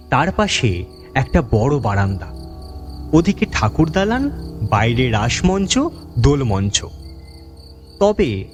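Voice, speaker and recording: Brief: male narrator for an audio story, unhurried delivery, very animated intonation, music underneath. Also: mid-range voice. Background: background music.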